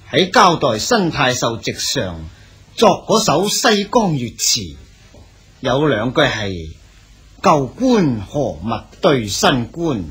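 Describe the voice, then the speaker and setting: A man with a husky voice and a Cantonese accent, playing an admiring Song-dynasty lyric poet in a radio drama. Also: mid-range voice, fairly bright tone, exaggerated voice.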